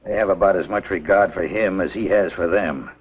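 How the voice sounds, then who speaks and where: An actor playing a worried older small-town doctor and father in a 1950s B-movie, with a husky voice. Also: low-pitched, a little fast. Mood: grave, worried. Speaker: film actor. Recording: dull old 1950s film soundtrack.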